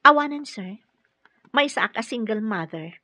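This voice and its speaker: Husky-voiced, earnest young nurse. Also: female voice, fairly high pitch, animated, a little slow, pushed and bright, no room sound, heavily acted. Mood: polite, earnest. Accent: Filipino. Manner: polite, hesitant, questioning. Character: earnest, polite single mother, a nurse seeking caregiver work. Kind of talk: Ilocano radio drama acting.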